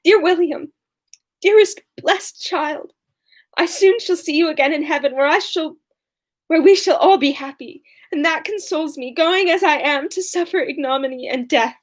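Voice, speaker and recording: A woman reading aloud as a young condemned servant woman, tearful and pious, in an earnest, slow, mournful way. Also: high-pitched, natural voice.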